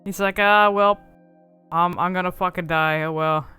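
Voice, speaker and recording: A woman's voice: fairly low pitch, fairly even intonation, moderate pace, bright, forceful tone, clean tone, faint music behind. A woman giving casual, off-the-cuff commentary on a video game in a rambling, informal, amused manner.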